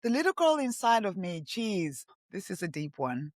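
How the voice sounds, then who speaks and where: A woman's voice: mid-range voice, sing-song, normal speed, no room sound. Role: woman guest, reflective. Origin: Tanzania and Zambia. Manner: earnest, heartfelt. Room: dry. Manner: earnest, heartfelt, repeats herself for emphasis. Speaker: podcast guest. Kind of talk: reflective interview talk.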